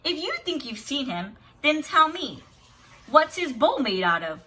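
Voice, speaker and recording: A woman's voice: high voice, very animated, a little slow, sharp tone, slight background noise, pitched above the performer's own voice, exaggerated voice. A woman voicing a stern, testing sister who guards her brother in a storybook. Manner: firm, challenging, commanding.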